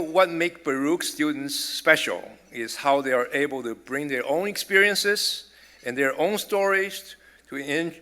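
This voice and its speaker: A middle-aged man with a Taiwanese accent delivers a formal dinner speech, measured and earnest, with small restarts now and then. His voice sits a little high for a man and rings bright and clear. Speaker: college president giving a speech.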